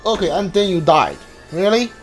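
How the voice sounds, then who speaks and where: A man's voice: sits high, lively intonation, normal speed. A man giving casual commentary on a game he plays, often muttering questions and asides to himself. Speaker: gamer streaming a blind playthrough. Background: game music.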